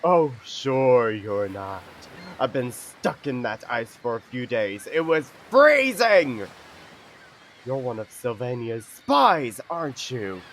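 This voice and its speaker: An actor playing a big, gruff arctic beast in an audio drama, blunt but good-hearted. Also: male voice, mid-range voice, big pitch swings, slow pace, fairly bright tone, slight background noise, exaggerated voice. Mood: menacing. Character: big gruff thawed arctic beast, friendly once calmed.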